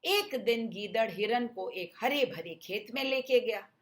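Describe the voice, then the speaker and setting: Slow, high-voiced woman telling children's stories. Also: animated. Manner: addresses children, explanatory. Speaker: children's storyteller. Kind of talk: scripted storybook narration.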